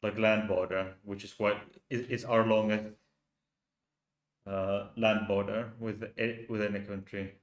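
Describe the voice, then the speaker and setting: A man's voice: fairly low pitch, level tone. Man, Finnish accent, casual stream host, hesitant, echoey room. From Finland. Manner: hesitant, halting with many restarts. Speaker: channel host. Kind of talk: casual live stream talk. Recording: roomy echo.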